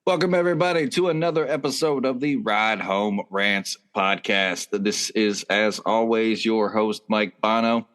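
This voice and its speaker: A man's voice: on the low side, level tone, unhurried, hardly any pauses, fairly bright tone. A man hosting a casual sports podcast over a video call, reading sponsor spots and chatting with upbeat energy. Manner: upbeat, reads sponsor spots then chats. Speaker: podcast host.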